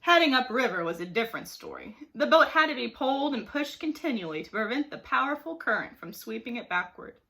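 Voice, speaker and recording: A woman reading a children's story aloud like a teacher, with expressive, dramatic emphasis. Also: a little high, fairly quick, creaky, hardly any pauses. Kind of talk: animated storybook narration for children.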